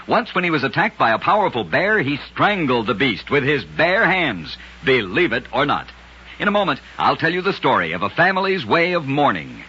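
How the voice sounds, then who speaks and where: A man's voice: medium pitch, big pitch swings, normal speed, pushed and bright, lo-fi sound, slight background noise. A man hosting an old-time radio show, narrating scripted oddities in a dramatic, emphatic way on a vintage recording. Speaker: radio storyteller and host.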